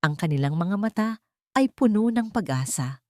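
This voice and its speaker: A woman's voice: fairly low pitch, expressive, normal speed, mellow, no echo, studio quality. A woman with a Filipino accent reading a scripted dramatic love story as an audiobook narrator.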